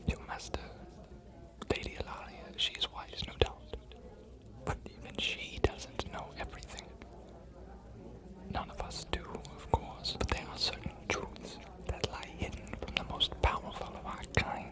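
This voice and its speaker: Girl doing a whispery old vampire scholar lady, asmr roleplay, super slow, cryptic and inviting. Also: close to an everyday voice. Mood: hushed, earnest. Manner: hushed, deliberate, whispering with weighty pauses. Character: scholarly, soft-spoken ancient vampire archivist, cryptic and inviting.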